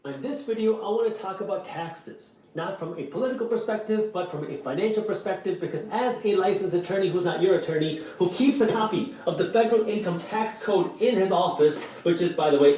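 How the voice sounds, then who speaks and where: A man whose first language is Punjabi, working as an attorney, walks through a topic point by point. His voice sits slightly high. It is heard from afar in a very echoey replay. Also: warm tone, distant mic. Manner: clear, structured, lecturing. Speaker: attorney explainer. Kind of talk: scripted explainer video.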